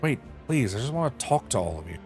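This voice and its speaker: A man's voice: mid-range voice, sing-song, fast, over music. A young man streaming a game, reading the dialogue aloud and chatting in a loose, self-mocking way.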